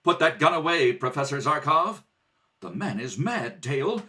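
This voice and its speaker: A man playing a brave, steady athlete hero in an old-time radio adventure, earnest and reassuring. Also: a little high, moderate pace. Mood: calm, wary.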